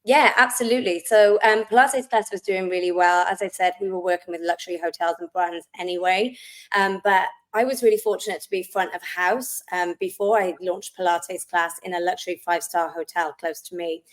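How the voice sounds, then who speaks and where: A woman's voice: lowish voice, fairly quick, rough recording. Chatty Welsh woman, frequent ums. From South Wales. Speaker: interview guest, wellness business founder. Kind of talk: casual interview chat.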